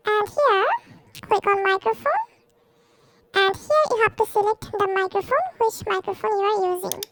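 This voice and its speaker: A tiny, extremely high childlike voice, a woman run through a voice changer, gives step-by-step software instructions. It is artificially pitched up, with faint noise and clicks behind it. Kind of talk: step-by-step software tutorial narration.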